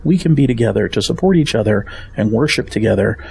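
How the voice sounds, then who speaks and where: Gravelly, firm middle-aged father. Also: on the low side, expressive, quick pace, a little mellow, exaggerated voice, low-quality recording. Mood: earnest, encouraging. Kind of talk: audio drama acting.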